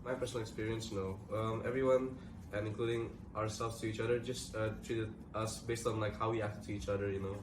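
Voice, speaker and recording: A young Indonesian man talks quickly and casually in a panel chat, dropping in little fillers as he goes. He sounds set back from the mic in an echoing room, with clear background noise around him. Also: lowish voice, little pitch movement. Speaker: co-host. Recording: roomy echo, distant mic, audible room noise.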